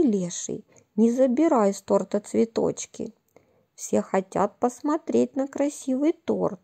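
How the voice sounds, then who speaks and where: A woman storyteller puts on an old storybook witch of the forest who stomps through a song and lectures her unruly guests. The voice is gravelly and husky, unhurried, on a warm, dark tone. It is recorded outdoors in a garden on a camera at a distance. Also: medium pitch, exaggerated voice. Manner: sings a stomping song, then scolds sternly. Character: old female forest witch, cheerful then strict.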